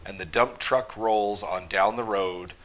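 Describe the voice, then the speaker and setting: A man with a fairly low voice reads a children's story aloud. His delivery is rhythmic and emphatic, leaning into the rhymes as a storyteller for young listeners. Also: steady tone, unhurried, fairly bright tone. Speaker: school mascot reading a picture book to children.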